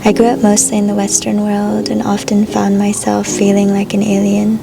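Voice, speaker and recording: A young woman from Japan, speaking in a soft, reflective way with frequent pauses and fillers. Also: medium pitch, completely flat delivery, gravelly, music in the background. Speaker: vlogger. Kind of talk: reflective personal voice-over.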